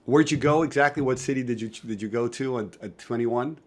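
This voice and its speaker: A man's voice: medium pitch, brisk. A man hosting an interview podcast, asking friendly conversational questions. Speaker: podcast host.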